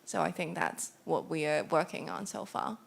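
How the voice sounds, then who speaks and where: A young woman from Hong Kong answering audience questions at a conference, casual and quick to correct herself. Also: deep voice, vocal fry. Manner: casual, self-correcting, laughs easily. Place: conference room.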